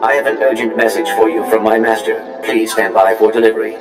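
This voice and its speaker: An actor playing a polite, coldly sinister protocol droid in a video game, formal and deadpan, with a robotic filter. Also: male voice, lowish voice, brisk. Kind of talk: video game character acting. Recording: distant, echoey reverb under loud music.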